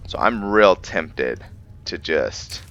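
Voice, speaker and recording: A man giving casual commentary on his game playthrough, thinking aloud in a halting way. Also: low voice, expressive, a bit bright, muffled recording, soft background music. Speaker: gaming video host.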